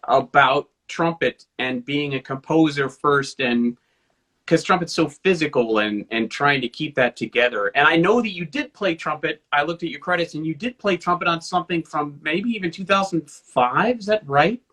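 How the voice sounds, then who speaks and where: A man's voice: on the high side, moderate pace, a bit bright. A man hosting an interview over a video call, enthusiastic and joking at his own expense. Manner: enthusiastic, self-deprecating humor. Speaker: interview host. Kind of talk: casual interview chat.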